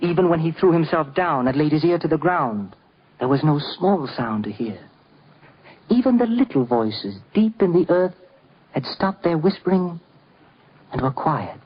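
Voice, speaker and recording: Male narrator for vintage radio drama: solemn storyteller, high-pitched and sweeping in intonation, theatrical throughout. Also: expressive, normal speed, breathy edge. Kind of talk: dramatic scripted narration, old-time radio. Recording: muffled 1950s radio recording. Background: prominent music.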